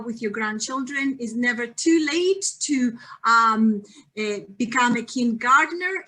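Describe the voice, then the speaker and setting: A woman with a Greek accent gives a friendly health lecture over a video call. Her voice sits a little high, and she fills her pauses with ums and small restarts. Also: bright, forceful tone, poor audio.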